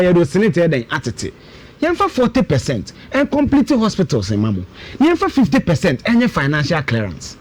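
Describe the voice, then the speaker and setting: Animated, high-pitched Ghanaian man arguing emphatically. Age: 30s. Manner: emphatic, argumentative, with rhetorical questions and repetition. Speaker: guest political commentator. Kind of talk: budget commentary on a radio talk show.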